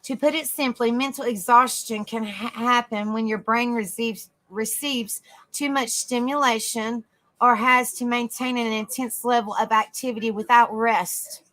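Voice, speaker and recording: Woman, casual livestream host, bright tone, slightly high voice, soft calm talk. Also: a little slow. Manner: soft, easygoing, chats with viewers by name. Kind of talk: casual livestream chat with viewers.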